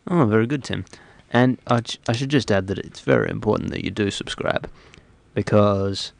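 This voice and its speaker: A man hosting comic radio banter, his voice hoarse from illness. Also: on the low side, moderate pace, dry recording. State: hoarse, ill. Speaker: radio show host. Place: radio studio.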